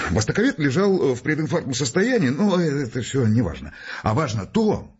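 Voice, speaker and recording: An actor playing an earnest, polite, hesitant man in a Russian audio drama, speaking in a halting, digressive, apologetic way. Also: mid-range voice, darkish tone, breathy edge, muffled recording.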